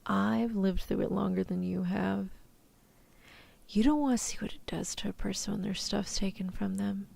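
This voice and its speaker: A woman's voice: mid-range voice, moderate pace, creaky, darkish tone. A woman playing a tough, protective, easygoing rescuer in an ASMR roleplay, talking in casual slang. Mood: menacing, possessive. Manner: casual slangy reassurance, turning threatening.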